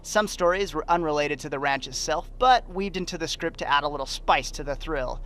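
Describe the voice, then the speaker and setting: A man's voice: on the high side, a little fast, a bit bright. A man with an American accent giving scripted television news reports.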